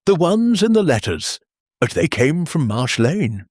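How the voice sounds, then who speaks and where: A man voicing a shrewd, gentle older lady amateur sleuth in a mystery audiobook, measured and quietly probing. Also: fairly high pitch, sing-song, breathy edge, dry recording, studio quality, voice pushed up, heavily acted.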